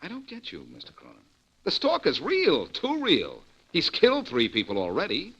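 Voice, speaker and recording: A man's voice: a little high, wildly swooping pitch, moderate pace, fairly bright tone, slightly rough, heavily acted. An actor playing a blunt, dogged detective in an old radio drama, insistent and emphatic in his questioning. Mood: urgent, exasperated. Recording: muffled vintage radio recording.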